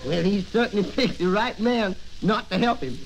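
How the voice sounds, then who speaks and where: A man's voice: sits high, expressive, moderate pace, creaky. A man playing an inquisitive, skeptical comedy partner in an old radio routine, speaking in folksy broken grammar on a noisy recording. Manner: questioning, folksy ungrammatical speech.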